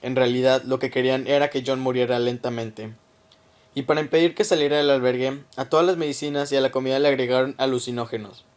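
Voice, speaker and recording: Casting: male storyteller for radio drama, mid-pitched voice, scripted narration. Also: steady tone, quick pace.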